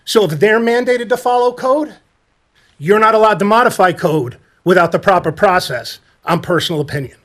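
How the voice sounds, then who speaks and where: Pointed, high-voiced homeowner. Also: male voice, normal speed. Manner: pointed and argumentative, growing forceful. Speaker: homeowner making public comment. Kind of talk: public comment at a planning board meeting.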